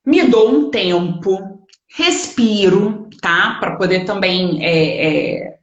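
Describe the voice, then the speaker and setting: A woman's voice: medium pitch, expressive, slow, muffled recording. A woman with a Brazilian Portuguese accent giving an informal online lesson, explaining conversationally and often checking in with short tags. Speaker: English study teacher. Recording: echoey room sound.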